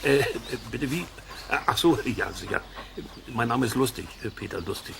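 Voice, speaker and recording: A man's voice: mid-range voice, lively intonation, moderate pace, creaky, heavy background noise. An actor playing a folksy, curious man who muses and chats to listeners in a children's audio drama. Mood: puzzled, earnest. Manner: musing, chuckling, colloquial, talks to listeners. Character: folksy, curious amateur investigator, chatty middle-aged man. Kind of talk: German children's audio drama, chatty explaining.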